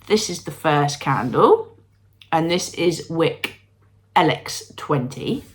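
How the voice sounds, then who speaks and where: A woman's voice: sits low, sing-song, slow. Congested, chatty woman vlogging. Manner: bubbly, self-deprecating, talks to viewers. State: congested with a cold, sniffly. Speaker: candle-making vlogger. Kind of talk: chatty home vlog. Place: at home, moving between rooms.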